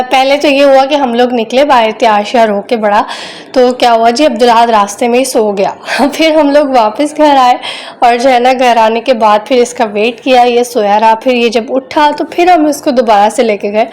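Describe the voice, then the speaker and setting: A chatty young woman narrates her day in a relaxed vlog voice-over. Her voice sits a little high, and her homely, rambling delivery leans on repeated filler phrases. A sore throat gives her a slight rough edge. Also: moderate pace. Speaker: vlogger.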